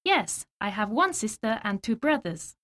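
A woman's voice: a little high, sing-song, unhurried, fairly bright tone. A woman reading simple scripted sentences and dialogue answers for a language lesson.

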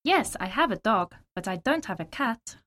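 A woman's voice: medium pitch, animated, moderate pace, fairly bright tone. Woman reading simple lesson sentences, lots of up and down in her voice. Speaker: language lesson dialogue reader.

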